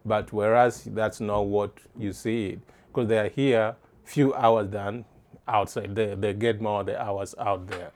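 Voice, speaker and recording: A middle-aged man from East Africa answering interview questions in a halting way, restarting phrases as he searches for words. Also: fairly low pitch, fairly even intonation, darkish tone.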